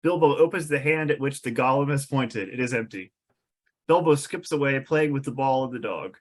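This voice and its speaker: A man's voice: medium pitch, normal speed. A man reading stage directions as narrator at a table read, descriptive and drily amused.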